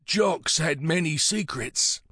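Man playing a frail, croaky old war veteran, painfully slow. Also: fairly high pitch, lively intonation, sharp tone, dry recording, studio quality, pitched above the performer's own voice, heavily acted. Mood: earnest, halting. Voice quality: croaky, wheezy. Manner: halting, short slow phrases.

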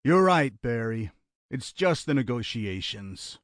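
A man's voice: medium pitch, big pitch swings, takes it slowly, dry recording, studio quality. A man voicing a violent, foul-mouthed criminal with an aggressive, sneering delivery for an audiobook thriller. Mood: grim, menacing.

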